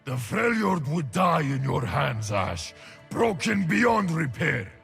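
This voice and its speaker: A man playing a solemn, stern shaman warrior in a video game, with a gravelly voice and a slow, dramatic delivery. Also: a little high, big pitch swings, a bit bright, soft background music, heavily acted. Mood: grave, accusing. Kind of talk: video game character voice lines.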